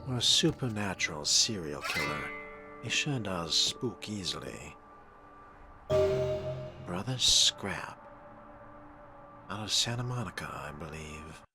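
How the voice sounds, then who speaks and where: Very low, slow, sardonic man over game music. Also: expressive. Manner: dry, sardonic, wordy. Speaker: role-playing let's player. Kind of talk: in-character game commentary, musing aloud and reading text. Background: video game music.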